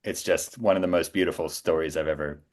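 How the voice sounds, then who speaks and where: A man's voice: very deep voice, steady tone, fast talker, slight background noise. A man chatting casually as a guest on a podcast, talking in an enthusiastic, emphatic storytelling way. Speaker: guest voice actor and audiobook narrator.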